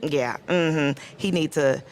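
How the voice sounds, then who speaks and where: A woman co-hosting a church talk segment, emphatic and quick to affirm her partner. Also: low voice, slight background noise. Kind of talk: casual chat.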